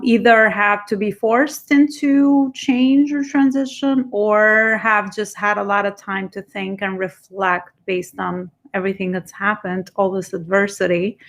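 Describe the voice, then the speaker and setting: A woman's voice: medium pitch, vocal fry, fairly bright tone. A woman hosting a podcast interview, talking in a rambling, encouraging way with many fillers. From immigrant, came at fifteen. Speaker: podcast host. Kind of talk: casual interview chat.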